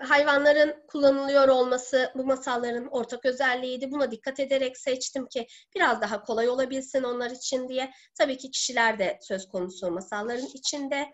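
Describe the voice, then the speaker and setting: High-pitched woman explaining step by step. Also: a bit bright, highs cut off. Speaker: teacher presenting a method to fellow teachers. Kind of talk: online seminar presentation, explanatory. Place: living room at home. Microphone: laptop or webcam video call.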